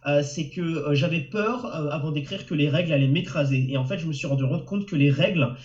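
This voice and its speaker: French male novelist guest with a cold, halting delivery, a touch high, muffled lo-fi call audio. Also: roomy sound. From France. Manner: hesitant, many fillers and restarts. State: has a cold. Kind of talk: webinar interview answers. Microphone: video call.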